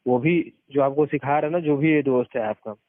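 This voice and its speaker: फ़ोन कॉल पर ज़ोर देकर समझाता उत्तर भारतीय आदमी. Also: male voice, mid-range voice, brisk, dry recording. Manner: emphatic, explanatory, repeats points for stress. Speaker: experienced former network marketer giving advice. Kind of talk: casual advisory phone conversation. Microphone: phone call. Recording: muffled narrowband phone call recording.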